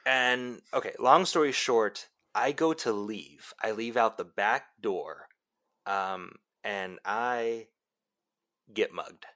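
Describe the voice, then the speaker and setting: A young college radio host, played by a man, with a bright, punchy voice. He is excitable and adamant, bantering like talk radio and leaning on dramatic pauses. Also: on the low side. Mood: building suspense. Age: young adult.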